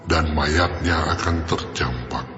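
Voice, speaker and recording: An actor playing the voice of God in an audio Bible drama, speaking slowly and gravely as he pronounces judgment. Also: pushed and bright, full character voice. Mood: solemn. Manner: slow, grave, booming proclamation. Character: the voice of God, solemn and commanding. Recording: echoey reverb hall, dull sound over music.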